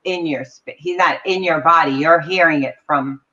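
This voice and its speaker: A woman speaks in a low voice, explaining things earnestly as a psychic co-host. Her audio comes through dull and lo-fi, like a video call. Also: normal speed, pushed and bright. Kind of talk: casual live chat.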